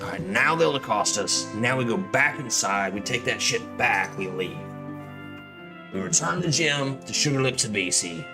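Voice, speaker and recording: A man's voice: mid-range voice, animated, normal speed, vocal fry. A man giving casual, profane, grumbling commentary while he plays a video game. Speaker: gaming streamer playing and commenting on a game. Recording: game music behind the voice. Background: video game music.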